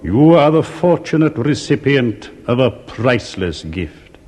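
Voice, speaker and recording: A man's voice: medium pitch, sing-song, measured pace. An actor playing a solemn English clergyman with a ceremonious, sonorous delivery in a British farce.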